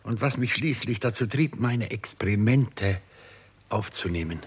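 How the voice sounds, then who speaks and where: An actor playing an obsessed, brooding scientist in a 1960s German radio crime drama, speaking in a husky, fervent, halting, theatrical way. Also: male voice, on the low side, animated, heavily acted. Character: obsessed male scientist fascinated by raising the dead.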